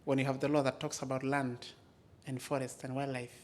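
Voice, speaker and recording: A man with an East African accent and Maa as his first language gives a storytelling speech as a community speaker, in short, deliberate, emphatic phrases. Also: medium pitch, little pitch movement. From Maasai areas of Kenya and Tanzania.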